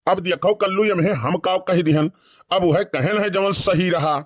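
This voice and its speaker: A man plays a gossipy village uncle in a cartoon comedy. His voice sits a little high for a man and has an Awadhi accent. He cajoles and complains in a close, dry recording. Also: normal speed, dry recording. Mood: defensive.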